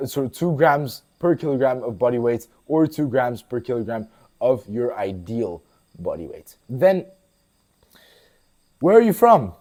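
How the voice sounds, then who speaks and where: A man's voice: medium pitch, mellow. A man, a fitness coach answering viewer questions in a blunt, casual way, swearing and calling the viewer bro. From Portugal. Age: 20s. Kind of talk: casual Q&A vlog.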